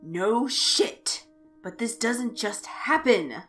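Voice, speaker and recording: A woman's voice: a little high, expressive, very slow, fairly bright tone, faint music behind, voice pushed up, heavily acted. A woman voicing a blunt, irritable young male college student with a curt, exasperated manner in an audio story. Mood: angry.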